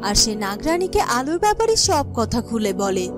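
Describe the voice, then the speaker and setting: A woman's voice: on the high side, sing-song, brisk. A woman narrating a scripted fairy-tale cartoon as its storyteller. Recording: music and crickets behind the voice.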